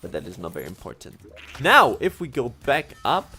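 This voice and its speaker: Lively chatty Swiss man streaming. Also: mid-range voice, moderate pace, slight background noise. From Switzerland. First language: German. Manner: chatty, laughs often, self-correcting asides. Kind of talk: casual gameplay livestream commentary.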